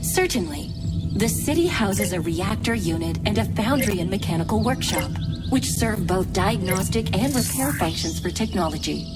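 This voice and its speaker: A woman's voice: mid-range voice, expressive, moderate pace, loud music behind the voice, natural voice. A woman voicing a polite, helpful artificial intelligence guide in a video game, formal, precise and obliging. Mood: helpful, composed. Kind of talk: video game cutscene acting.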